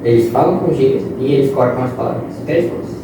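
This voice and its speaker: A man's voice: mid-range voice, fast. Young male interview guest, explains with examples; roomy echo, mic at a distance. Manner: explains with examples and quoted phrases. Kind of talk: casual interview talk. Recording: echoey room, distant mic, noisy.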